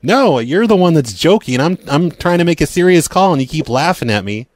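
A man's voice: on the high side, very animated, a little fast. A man making an improvised prank phone call as a homeowners association official, hesitant, stammering and stubbornly argumentative. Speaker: prank caller.

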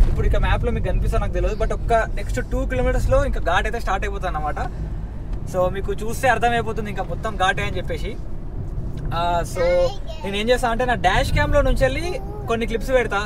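Young male travel vlogger, rapid chatty delivery, clear car and road noise behind. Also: high voice. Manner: chatty, rapid, mixing English words into his talk. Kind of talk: casual vlog narration while driving. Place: inside a car.

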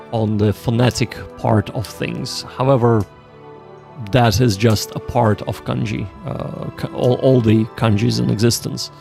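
A man hosting a livestream, chatting casually and explaining things to viewers in a halting way, often repeating himself. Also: medium pitch, gravelly, a little mellow. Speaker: livestream host of a Japanese study session.

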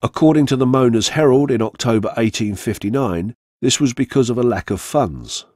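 A man reading a scripted true-crime story for radio in a measured, formal way. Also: medium pitch, darkish tone, no room sound, studio quality. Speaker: narrator. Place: radio studio, dry sound.

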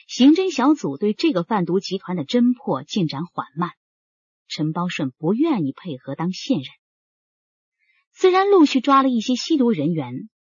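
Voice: medium pitch, slightly rough, dry recording, highs cut off. A performer reading serious scripted narration for a crime radio drama.

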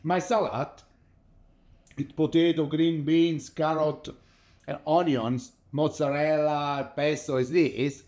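A man's voice: a little high, steady tone, takes it slowly, a little mellow. A middle-aged Italian man with an Italian accent, chatting casually in non-native English as he demonstrates a recipe in his home kitchen. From Italy. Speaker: cooking show host.

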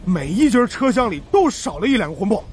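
Excitable, cheeky fat young guy for ghost audiobook; highish, wildly swooping, chatty. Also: quick pace, slight background noise. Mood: excitable, questioning. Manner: exclamatory, chatty, informal. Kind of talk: audiobook character acting.

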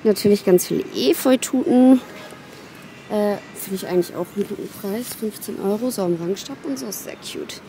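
A young woman vlogging casually and excitedly while browsing plants in a large garden center, sometimes dropping to a whisper. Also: mid-range voice, normal speed, slight background noise. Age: young adult. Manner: excitable, chatty, often whispering asides. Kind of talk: casual shop-with-me vlog. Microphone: handheld phone camera.

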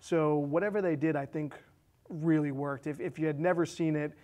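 A man in his thirties casually discussing a film, halting and repeating words as he thinks aloud. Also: a little high, steady tone, a little mellow. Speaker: film discussion show co-host. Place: small indoor studio set with curtain.

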